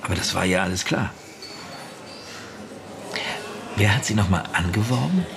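An actor in a radio drama playing an unsentimental, impatient British spymaster, speaking with emphatic authority. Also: male voice, deep voice, expressive, fast talker, creaky, a crowd in the background. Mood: matter-of-fact. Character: hard-nosed male British spymaster, brisk, impatient, unsentimental.

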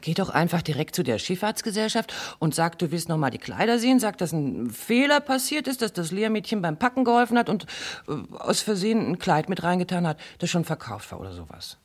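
Scheming persuader, lowish husky voice, coaxing manner, radio thriller. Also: female voice, very animated, brisk, hardly any pauses. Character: smooth scheming woman feigning helpful concern. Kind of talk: German radio crime drama acting.

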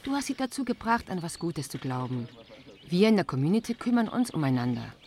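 An actress playing an easygoing, reassuring commune member in casual radio drama dialogue. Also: female voice, on the low side, big pitch swings, a little fast, darkish tone.

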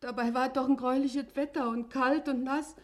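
An actress playing a plain-spoken, grumbling housemaid in a radio play, forthright and colloquial. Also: female voice, high-pitched, steady tone, moderate pace, lightly acted. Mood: defensive, chatty. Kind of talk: German radio play acting.

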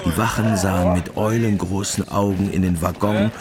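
A man's voice: low-pitched, fairly even intonation, normal speed, over a noisy crowd. A man narrating a scripted radio drama. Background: music.

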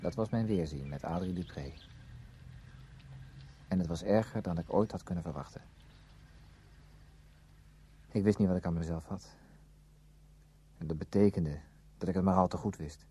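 An actor playing a brooding, guilt-ridden man in a Dutch radio drama, speaking in a measured, introspective, hesitant way. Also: low voice, mellow, slight background noise, lightly acted. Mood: brooding, confessional. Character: brooding, guilt-ridden man narrating his past, protective. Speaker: narrator and lead character. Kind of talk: Dutch radio drama acting, confessional narration.

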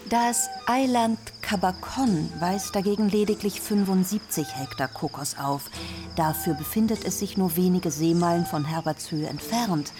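An actress playing a shrewd, resolute businesswoman past fifty in a radio drama, speaking with measured, persuasive salesmanship. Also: fairly low pitch, lightly acted. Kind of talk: German radio drama acting. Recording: music bed behind the voice.

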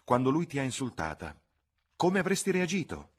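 A man's voice: medium pitch, huge pitch swings, normal speed, slightly rough, pitched above the performer's own voice. A man voicing a fussy, reproving older spinster chaperone in an audiobook reading. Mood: insistent. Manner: mildly reproving, prim, insistent.

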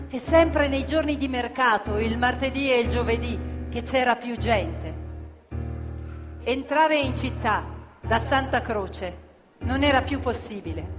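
An actress playing a defiant working-class woman, recalling factory protests in an emphatic, plain-spoken radio play narration. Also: high voice. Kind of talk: theatrical narration in a live radio play with music. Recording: long hall reverb, music underneath. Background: live musical instruments.

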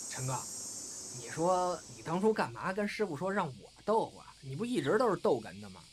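一位男演员在广播剧里饰演调皮爱闹的相声搭档兼恋人，年纪较轻，说话俏皮，爱斗嘴。. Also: male voice, fairly high pitch, a little fast, noisy background. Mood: mischievous. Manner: cheeky, expressive, bantering. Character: cheeky, playful younger male comedy partner and lover. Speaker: voice actor. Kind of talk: intimate audio drama dialogue.

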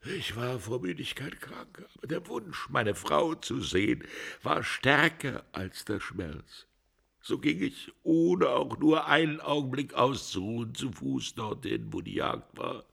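Radio drama: grieving drinker husband, rough gravelly voice, very expressive, halting, slipping toward whispers. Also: male voice, medium pitch, exaggerated voice. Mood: weary. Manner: halting, anguished, dropping into breathy near-whispers. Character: distraught, hard-drinking husband questioned over his wife's murder. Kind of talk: German radio drama acting.

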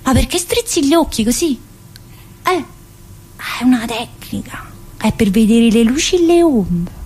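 Actress as a high-voiced, brash southern Italian inmate. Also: female voice, animated, measured pace, a little mellow, slightly rough. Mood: teasing, coy. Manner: chatty, confrontational, with frequent interjections and questions. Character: brash, teasing, restless middle-aged female prison inmate. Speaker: stage actress performing a monologue. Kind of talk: colloquial theatrical monologue.

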